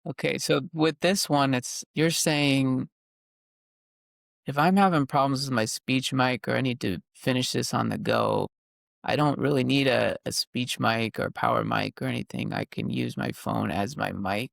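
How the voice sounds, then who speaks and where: A man's voice: mid-range voice, lively intonation, a little slow, creaky, fairly bright tone, no echo, clean studio sound. A man hosting a conversational interview, recapping points and checking them with questions. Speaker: podcast host interviewing a guest. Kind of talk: conversational interview about technology.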